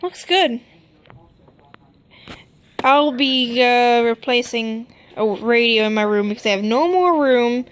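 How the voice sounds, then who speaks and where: A woman's voice: on the high side, slow, pauses often. A woman casually narrating an unboxing as a vlogger, informal, with muttered asides to herself. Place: indoors at home.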